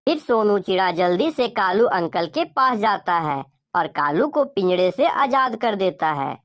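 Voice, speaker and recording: A woman's voice: mid-range voice, very animated, a little slow, muffled recording. A woman narrating a scripted children's cartoon story as a storyteller.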